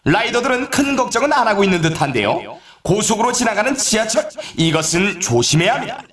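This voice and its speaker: Hyped up snowboard game announcer, high excitable male voice, super showy, echoey. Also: fairly quick, crowd noise. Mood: excited, celebratory. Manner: theatrical, showy, exclaiming.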